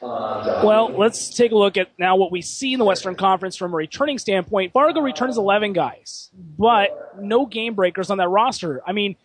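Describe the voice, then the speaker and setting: A man's voice: high-pitched, expressive. A man hosting a hockey radio broadcast and chatting with his guest, asking long questions while losing his voice. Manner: quick, asks long multi-part questions.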